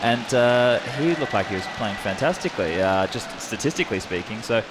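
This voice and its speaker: A man's voice: on the low side, expressive, fairly quick, crowd noise, noisy background. A man with an Australian accent, giving casual, rambling esports analysis full of fillers. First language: English. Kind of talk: analyst desk chat.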